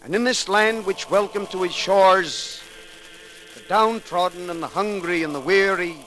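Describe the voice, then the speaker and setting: A man delivering a solemn, oratorical eulogy in formal measured phrases on a 1930s radio recording. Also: high voice, bright, forceful tone, poor audio. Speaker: eulogist at a memorial service. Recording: 1939 radio recording with faint music.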